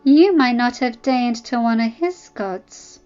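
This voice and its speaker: A woman reader playing a proud young noblewoman who fires back with cold, cutting defiance. Her voice is raised and a little high, and the recording sounds dull with faint music behind. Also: measured pace. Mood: defiant.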